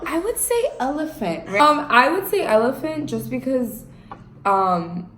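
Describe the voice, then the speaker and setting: Relaxed young woman from New Jersey. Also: on the high side. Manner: relaxed and thoughtful, with many fillers. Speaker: vlogger. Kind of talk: casual chat. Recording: echoey room with faint noise.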